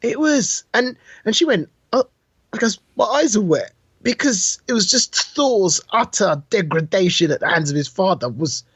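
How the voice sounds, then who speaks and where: A man co-hosting a podcast, chatting casually about films in an anecdotal way with a slightly husky voice. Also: high voice, lively intonation, moderate pace, fairly bright tone, no echo. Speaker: podcast co-host. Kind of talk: casual film chat.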